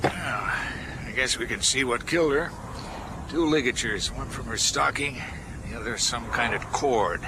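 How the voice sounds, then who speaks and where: An actor playing an unsentimental American coroner in a radio drama, methodical and matter-of-fact. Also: male voice, mid-range voice, a little slow, lightly acted. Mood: clinical. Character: brisk, clinical American male coroner, unsentimental. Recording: outdoor noise with cars behind.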